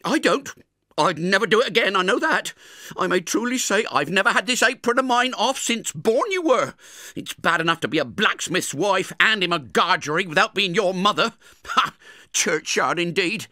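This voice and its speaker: A man plays a bad-tempered blacksmith's wife, scolding in a high, shrill, snappish voice. Her lines are loud and full of sharp complaint, recorded dry and close. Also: animated, moderate pace, no room sound, full character voice.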